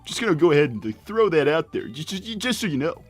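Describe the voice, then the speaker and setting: A man's voice: a little high, very animated, soft background music. A man voicing a short, crude cartoon hedgehog dad, rambling and repeating himself matter-of-factly in improvised livestream banter. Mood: rambling, self-deprecating. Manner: halting, repetitive, matter-of-fact oversharing.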